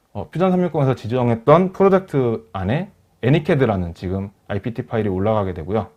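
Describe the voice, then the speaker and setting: A man gives an explanatory software demonstration in a medium-pitched voice. His speech is polite and formal, like a presenter walking through each step, and the audio is slightly dull and band-limited. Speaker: webinar presenter. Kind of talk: software demonstration, part rehearsed narration.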